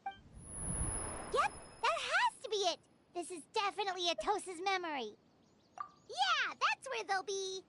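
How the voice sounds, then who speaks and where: A woman voicing a small, childlike, excitable fairy companion in a video game, eagerly piecing things together. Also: very high-pitched, a little slow, long pauses, heavy background noise, exaggerated voice. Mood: eager, certain.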